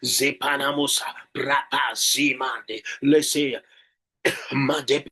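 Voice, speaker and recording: Male prayer leader, forceful bright tone, mid pitch, emphatic and repetitive delivery. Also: steady tone, very slow. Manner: emphatic, repeats words and phrases. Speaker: preacher leading morning prayer. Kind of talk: exhortation and glossolalia prayer.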